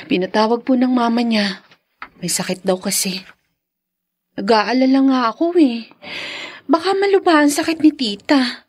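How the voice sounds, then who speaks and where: Radio drama: doting young mum, high husky-edged voice, tender and fretful, close dry sound. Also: female voice, normal speed, long pauses, dry recording. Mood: apologetic, worried. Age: young adult. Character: anxious, doting young mother with a baby.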